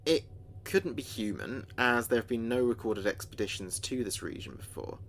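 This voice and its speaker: A man playing an earnest space communications officer, recording logs in a measured, thoughtful way for a sci-fi audio drama. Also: mid-range voice, animated, noisy background, close to an everyday voice. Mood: intrigued. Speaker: communications officer keeping a mission log. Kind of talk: audio drama log narration.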